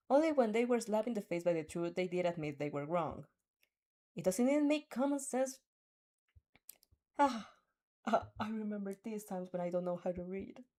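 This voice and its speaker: A woman narrates a fan-made story in an animated, expressive way. Her voice sits at an average female pitch, and she trips over words here and there as she reads. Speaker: fan fiction reader and dubber. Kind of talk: expressive storytelling with off-script asides.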